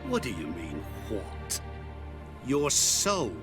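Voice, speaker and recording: A man's voice: lowish voice, huge pitch swings, takes it slowly, over music, heavily acted. A man playing a sly, mocking demonic soul trader in a video game, smug, theatrical and falsely polite. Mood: smug, menacing.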